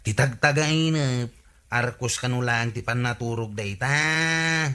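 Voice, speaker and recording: An actor playing a skeptical, chiding villager with an Ilocano Filipino accent in a radio comedy drama. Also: male voice, medium pitch, takes it slowly, bright, forceful tone, smooth. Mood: dismissive.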